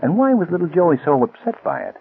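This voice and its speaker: Suave, inquisitive radio sleuth, 1940s style, swooping intonation, thin vintage recording. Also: male voice, fairly high pitch, sing-song, creaky, breathy edge, phone-quality sound, full character voice. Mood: musing. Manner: polished, inquisitive, deliberate. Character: suave, probing amateur detective, calm adult gentleman. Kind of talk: 1940s radio drama acting.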